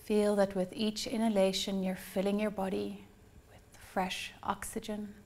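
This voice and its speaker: Creaky-voiced woman guiding a meditation. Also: medium pitch, level tone. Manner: soothing, unhurried, gently instructing. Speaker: meditation guide, yoga instructor. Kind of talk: guided meditation.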